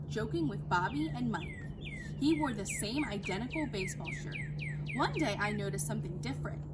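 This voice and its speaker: A woman teacher speaking and reading aloud to children in an animated, affectionate, expressive way. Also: a little high. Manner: animated, addressing young listeners affectionately. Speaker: teacher reading a story to pupils. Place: outdoors in a backyard. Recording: clear background noise, outdoor ambience.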